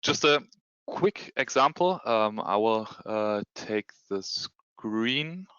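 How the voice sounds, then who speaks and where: German-accented male presenter on a video call, halting and full of fillers, bright crisp tone. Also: fairly low pitch, animated, no room sound, highs cut off. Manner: hesitant, many ums and restarts. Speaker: security consultant presenting a demo. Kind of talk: webinar presentation with screen share.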